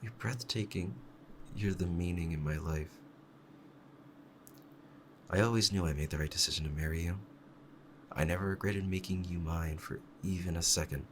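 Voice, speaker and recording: A man playing a devoted, clingy yandere fox-spirit husband in an intimate ASMR roleplay, speaking in a soft, tender bedtime murmur. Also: low-pitched, moderate pace, pauses often, a little hiss or hum. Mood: tender, adoring.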